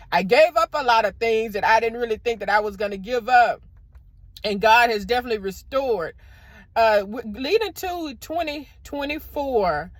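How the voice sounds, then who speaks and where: Middle-aged woman, motivational vlogger, loud deep voice, dry close lapel sound. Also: no room sound. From American South. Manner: warm preacherly encouragement, addresses viewers as y'all. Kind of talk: casual motivational talk to camera. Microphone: clip-on microphone.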